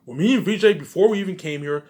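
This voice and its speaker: A man's voice: high-pitched, quick pace, darkish tone. A man giving a rambling, unscripted vlog monologue, emphatic and repetitive, circling back over his phrases and swearing at times. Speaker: vlogger.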